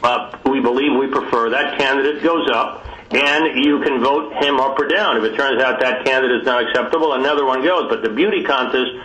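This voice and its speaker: Deliberate man on an echoey conference call. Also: medium pitch, pushed and bright. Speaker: committee call participant. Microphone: telephone.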